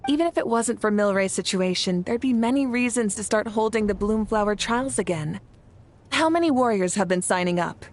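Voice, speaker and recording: A woman's voice: mid-range voice, animated, brisk, slight background noise, natural voice. An actress voicing a confident, easygoing female leader in a video game, assured and informal in her reassurance. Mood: approving, relaxed. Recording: background music under dialogue.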